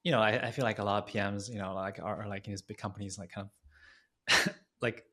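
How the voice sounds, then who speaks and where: A man hosting a casual interview, putting loose, informal questions in a halting, chatty way. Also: on the low side, steady tone, pushed and bright. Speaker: podcast host and interviewer. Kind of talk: casual chat.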